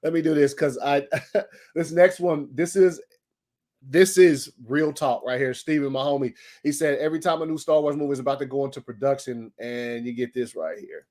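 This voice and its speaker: A man's voice: mid-range voice, steady tone, normal speed, darkish tone. A man hosting a daily online show in casual livestream chat, loose and slangy, laughing often, his throat a little scratchy. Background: faint music.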